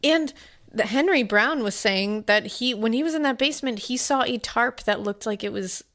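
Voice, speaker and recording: A woman co-hosting a true-crime podcast in casual chat, animated and repeating her points for emphasis. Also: on the high side, normal speed. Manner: animated storyteller, repetitive emphasis. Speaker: podcast co-host.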